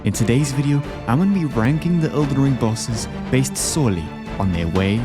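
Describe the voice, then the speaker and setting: A man's voice: medium pitch, lively intonation, normal speed. A man hosting a video, giving casual, opinionated commentary in an informal, slangy way. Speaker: video host ranking game bosses. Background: background music.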